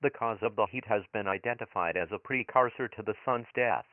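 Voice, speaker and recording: A man playing a grave emergency alert announcer, reading formal scripted bulletins in a flat official way through a radio filter. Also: lowish voice, a little fast, no echo. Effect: radio broadcast filter. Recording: muffled, phone-like radio transmission.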